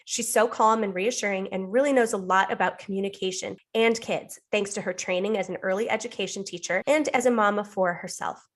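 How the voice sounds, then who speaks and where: A woman's voice: mid-range voice, brisk, few pauses. A woman, around her thirties, talking conversationally as a podcast co-host.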